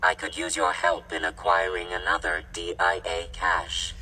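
Gravelly robot analyst. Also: male voice, deep voice, moderate pace, big-room sound, heavily acted, rough recording. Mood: flat. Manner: monotone, clipped machine phrasing. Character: flat-voiced male robot analyst.

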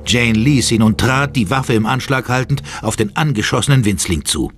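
A man's voice: mid-range voice, expressive, normal speed, lightly acted. A man narrating a horror audio drama in the third person with a dramatic, suspenseful delivery. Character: male storyteller of a horror thriller. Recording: loud music behind.

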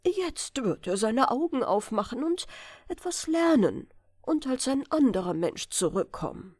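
A woman's voice: high voice, very animated, unhurried, a little mellow, husky, no echo, studio quality, pitched above the performer's own voice, heavily acted. Woman voicing an exasperated, grumbling fairy-tale king. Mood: grim. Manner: indignant, complaining. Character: grumpy, exasperated king and father of prince.

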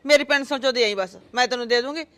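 A woman's voice: very fast, a bit bright. A woman playing an older mother, pleading and lamenting, bristling when challenged. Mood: indignant, aggrieved. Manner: pleading, lamenting, pitch rises in arguments. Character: grieving older mother, defensive, talks back. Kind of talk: family drama skit.

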